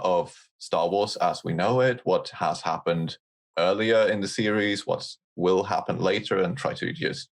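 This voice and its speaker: A man chatting casually as a guest on a podcast. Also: low-pitched. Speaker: guest, audio drama lore editor.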